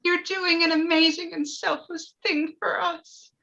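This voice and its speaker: A woman's voice: very high-pitched, steady tone, measured pace, vocal fry, sharp tone, lo-fi sound, full character voice. A woman reading the part of an earnest, polite, gushing wife who yearns for a baby, in a screenplay table read. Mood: earnest, yearning. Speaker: actor in a live table read.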